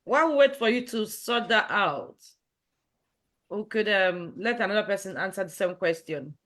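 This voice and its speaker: A woman runs a casual online discussion and briskly prompts each guest to speak. Her voice sits at a middle pitch. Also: animated, a bit bright. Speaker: host. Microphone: video call.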